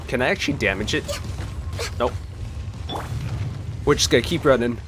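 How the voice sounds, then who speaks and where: A man's voice: mid-range voice, moderate pace, noisy background. A man giving casual gameplay commentary, talking to the game as he plays. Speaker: let's play host. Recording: game music behind the voice. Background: video game music and game audio.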